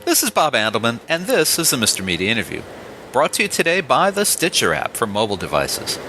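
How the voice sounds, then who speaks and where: A man's voice: medium pitch, huge pitch swings, fast, music in the background. A man hosting an interview show, moving between scripted announcements and conversational questions. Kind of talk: scripted introduction and ads, then interview chat.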